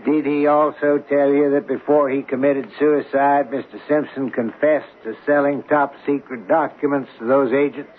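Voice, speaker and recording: An actor playing a grave, authoritative American security official in a 1970s radio drama. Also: male voice, mid-range voice, flat delivery, normal speed, hardly any pauses, natural voice. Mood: grave, reproving. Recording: muffled, hissy old radio broadcast.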